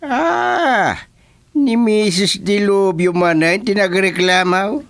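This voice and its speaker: Flustered village chairman, ilocano accent, slow n halting, pitch jumps all over, radio comedy. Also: expressive, gravelly, fairly bright tone, exaggerated voice. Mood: bewildered. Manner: halting, stammering exclamations. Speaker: actor in a radio comedy serial. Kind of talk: Filipino radio comedy drama acting.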